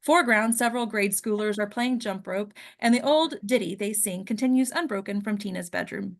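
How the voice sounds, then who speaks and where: Woman reading horror screenplay directions, mid-pitched. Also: a little fast, vocal fry. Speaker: stage-direction reader at a table read. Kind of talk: dramatic scripted narration.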